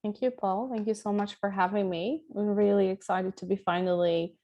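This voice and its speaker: A woman's voice: mid-range voice, fairly even intonation, moderate pace, mellow, muffled recording. A woman giving a friendly, upbeat webinar presentation as guest speaker over a video call. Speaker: guest speaker, client director. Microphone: webcam video call.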